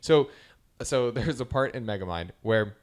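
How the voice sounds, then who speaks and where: A man in his thirties chatting casually as a podcast host, enthusiastic and quick to laugh. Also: mid-range voice, animated. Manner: enthusiastic, laughs often while retelling scenes. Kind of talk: casual chat.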